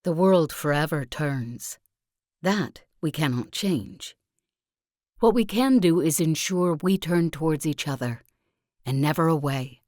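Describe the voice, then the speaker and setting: A woman voicing a zealous self-help guru and sect leader in a video game, speaking in solemn, deliberate oratory with calm conviction. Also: low-pitched, dry recording, studio quality. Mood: solemn, inspiring. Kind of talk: scripted video game character monologue.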